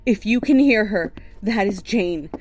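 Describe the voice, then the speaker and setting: A woman's voice: medium pitch, expressive, measured pace, a little mellow. A woman playing a self-important but jittery young documentary maker in a horror audio drama, chattily narrating her surroundings. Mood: theatrical bravado.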